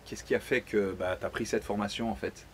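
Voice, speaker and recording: Male host, lower voice, laid-back chatty interviewer. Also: brisk, slight background noise. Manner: relaxed, chatty, loose phrasing. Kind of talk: casual interview. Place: outdoors by a pool.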